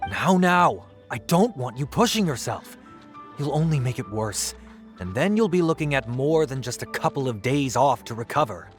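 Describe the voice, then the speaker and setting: A man's voice: medium pitch, expressive, normal speed, close to an everyday voice. An actor voicing an easygoing, good-humored, fatherly boss of an inn in a video game. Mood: concerned. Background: background music.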